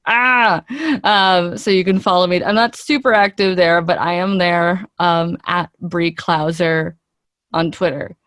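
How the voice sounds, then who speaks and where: A woman's voice: on the low side, steady tone, a little slow, fairly bright tone, dry recording. A woman speaking as an interview guest, an actress giving earnest, talkative answers in a conversational way and thinking aloud.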